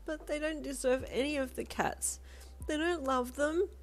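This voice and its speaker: Woman acting a high, tearful, defiant young girl. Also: moderate pace. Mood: tearful protest. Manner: sobbing, wailing, shouting protests.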